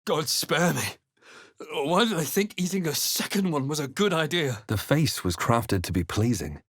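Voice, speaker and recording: A man playing a grave, watchful fantasy adventurer in a video game, narrating in the first person with measured, dramatic delivery. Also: on the high side, expressive, fairly bright tone, no echo, studio quality. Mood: tense.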